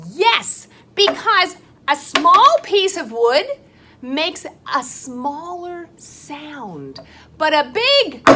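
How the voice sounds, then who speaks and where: A woman teaching first graders in a recorded lesson, talking slowly in a sing-song way to the children, with a loud voice. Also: high-pitched, background noise.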